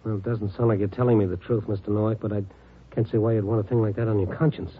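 An actor playing a world-weary, dogged American newspaper reporter, narrating and talking tersely in a 1950s radio drama. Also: male voice, on the low side, little pitch movement, quick pace, a little hiss or hum, natural voice. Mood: pressing, sardonic. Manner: terse, hard-boiled, conversational. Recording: tinny old radio broadcast, music underneath.

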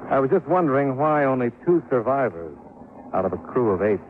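An actor playing a terse, dry, skeptical American insurance investigator in a 1950s radio detective drama, clipped and probing. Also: male voice, medium pitch, very animated, brisk, lightly acted. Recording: noisy, tinny 1950s radio recording.

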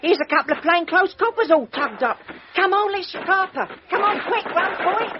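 A woman's voice: extremely high pitch, animated, normal speed. A woman voicing a cheeky street kid in a 1950s radio drama. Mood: mocking. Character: cheeky cockney street kid begging pennies. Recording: lo-fi old radio recording with loud hiss.

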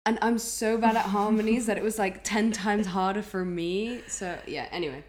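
A woman's voice: mid-range voice, steady tone, moderate pace, a little mellow, a little hiss or hum. A young adult woman co-hosting a podcast in casual chat, informal and rambling, with some swearing. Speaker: podcast co-host.

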